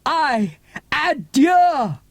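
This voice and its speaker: A man's voice: very high voice, big pitch swings, drawn-out words, no room sound, pitched above the performer's own voice, heavily acted. A man voicing a theatrical, blustering sea captain in a dramatised children's audiobook. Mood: blustering, dramatic. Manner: melodramatic, archaic seafaring talk.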